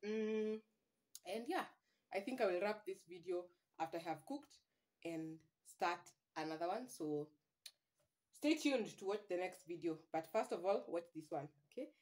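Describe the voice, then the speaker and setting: A woman's voice: fairly low pitch, animated, moderate pace, darkish tone. A young woman with a Kenyan accent chatting casually to her phone camera at home, dropping in Swahili words. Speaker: vlogger. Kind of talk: casual vlog chat to camera. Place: small room at home, indoors. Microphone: phone camera at arm's length.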